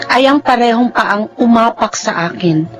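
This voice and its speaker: A high, plaintive young woman played by a radio actress, pregnant and worn down but devoted. She speaks emotionally, in radio drama style, with faint music behind her. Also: expressive, normal speed, natural voice. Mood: wistful narration. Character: dizzy, pregnant young live-in girlfriend, devoted and long-suffering. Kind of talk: Filipino radio drama acting and first-person narration.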